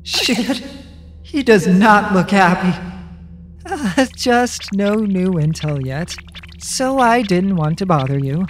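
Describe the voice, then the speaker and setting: A man's voice: high-pitched, expressive, takes it slowly, roomy sound, long reverb tail, a little hiss or hum. A man voicing a wary, anxious teenage schoolboy investigator in a comic dub. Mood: panicked, evasive. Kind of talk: dramatic comic dub acting with inner monologue.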